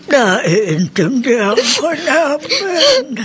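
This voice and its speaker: High-voiced, earnest older wise woman. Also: huge pitch swings, extremely slow, very noisy, heavily acted. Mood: consoling, tearful. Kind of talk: horror radio drama acting.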